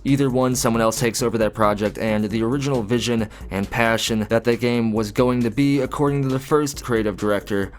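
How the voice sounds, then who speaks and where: A man hosting a gaming news channel, talking in a casual, earnest and repetitive way as he reads out and comments on a leak. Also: on the low side. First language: English.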